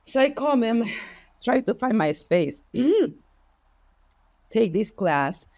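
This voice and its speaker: A woman with a Spanish accent, a native Spanish speaker, telling personal stories as a podcast guest in an expressive, heartfelt way. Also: a little high, slow pace, no echo. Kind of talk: personal storytelling in conversation.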